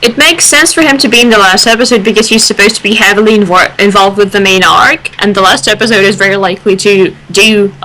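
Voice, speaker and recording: A woman's voice: mid-range voice, fast talker, few pauses, bright, forceful tone, slight background noise, low-quality recording. A woman reasoning aloud casually as a panelist in a fan discussion. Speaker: radio show panelist.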